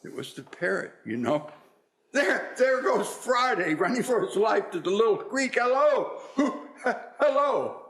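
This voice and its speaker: A man playing an excitable, wistful old miser in a theatrical radio play, exclaiming and stammering when moved. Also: sits high, a little slow, exaggerated voice. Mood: joyful calling.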